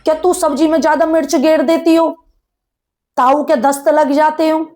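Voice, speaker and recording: A woman with a distinctly high voice and a rural North Indian Haryanvi-Braj accent. She talks like a gossip vlogger, casual and animated, teasing and sarcastic. She often throws out rhetorical questions as if talking straight to the people she is discussing. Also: normal speed. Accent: rural North Indian Haryanvi-Braj Hindi. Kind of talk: casual chatty commentary on a family controversy.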